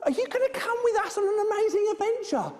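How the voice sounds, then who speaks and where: A woman playing an excitable, scatty, friendly adventurer in an interactive children's stage show, exaggerated and playful as she talks straight to the children. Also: extremely high pitch, fast. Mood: friendly, excited. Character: excitable, scatty, friendly adventurer setting off by hot air balloon. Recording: echoey hall with long reverb.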